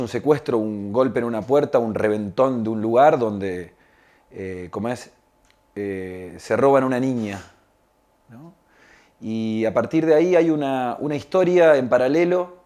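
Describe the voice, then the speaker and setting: A man's voice: mid-range voice, mellow. A man explaining his work reflectively, searching for words and adding tag questions. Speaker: radio drama maker being interviewed.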